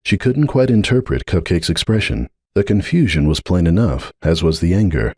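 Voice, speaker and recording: A man's voice: low voice, darkish tone, slightly rough, dry recording, studio quality. A man narrating a story as a dramatic reading, in the role of a fan fiction narrator.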